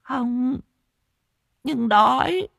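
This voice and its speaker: Man as a faint, high, pleading beggar girl. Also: lively intonation, gravelly, fairly bright tone, no echo, clean studio sound, heavily acted. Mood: pleading, hungry. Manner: faint, halting, pleading in fragments. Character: starving, simple-minded mad beggar girl, timid and pleading.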